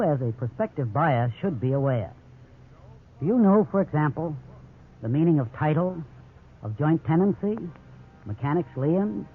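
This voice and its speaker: A man's voice: on the high side, slight background noise. A man with an American accent giving a formal, scripted advisory address as a legal counselor on 1950s radio. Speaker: law school dean, legal counselor on radio. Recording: tinny 1950s radio recording.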